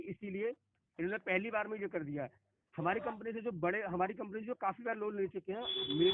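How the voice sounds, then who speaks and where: A man working as a loan company phone agent, arguing insistently and often restarting and repeating his phrases. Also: sits high. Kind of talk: argumentative phone conversation. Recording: noisy narrowband phone call recording.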